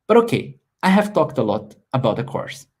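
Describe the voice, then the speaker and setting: A man talks through his online course in a webinar, sincere and a little halting. His voice is husky and mellow. His pitch rises and falls in lively swings. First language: Portuguese. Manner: earnest, plain non-native phrasing, some restarts. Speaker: course creator hosting a webinar. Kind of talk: live webinar sales pitch.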